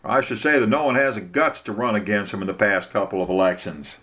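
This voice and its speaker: A man's voice: fairly low pitch, fast, pushed and bright. A man reading a story, voicing a folksy, blunt Southern lawyer who talks in an emphatic, colloquial way. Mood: blunt, confiding.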